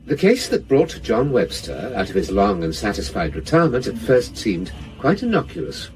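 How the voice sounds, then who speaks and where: A man acting as an audiobook reader, narrating a literary detective novel in a measured, portentous manner. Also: fairly low pitch, wildly swooping pitch, poor audio. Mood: grave, measured.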